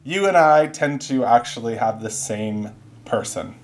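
A man's voice: mid-range voice, animated, a little slow. A young man co-hosting a gaming podcast, joking, teasing his friend and swearing casually. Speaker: podcast co-host. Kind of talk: casual chat.

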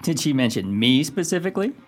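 A man's voice: on the high side, big pitch swings, fast talker. A man playing a cocky, overbearing romantic rival in an amateur radio play, brash and self-assured. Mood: cocky, prying.

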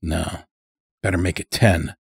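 A male narrator plays a guarded lodge master in a story, measured and wary. His replies are terse, delivered in a husky voice at a deliberate pace. The recording is dry and close. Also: low voice, steady tone, no room sound, full character voice.

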